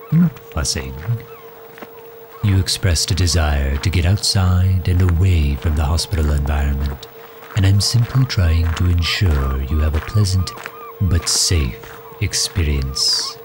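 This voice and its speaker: A deep-voiced villain, acted by a man, speaks slowly over prominent music in an intimate ASMR roleplay. He is courtly and protective, fussing over the injured hero with gentle formality. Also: expressive, exaggerated voice. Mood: tender, fussing. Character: doting, overprotective male villain caring for injured hero. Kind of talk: ASMR roleplay audio drama.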